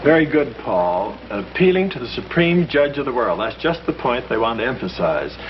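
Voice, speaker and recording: Man, earnest, emphatic, explanatory, lively intonation, muffled vintage film sound. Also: medium pitch, normal speed. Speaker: lecturer explaining history to friends. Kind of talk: scripted 1950s film dialogue. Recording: muffled old film soundtrack with faint hiss.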